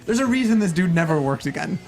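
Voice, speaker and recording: A woman's voice: fairly low pitch, animated, fast, a little mellow, faint music behind. A woman co-hosting a casual film discussion, opinionated and incredulous, emphatic, leaning on filler words and rhetorical questions. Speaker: talk show co-host.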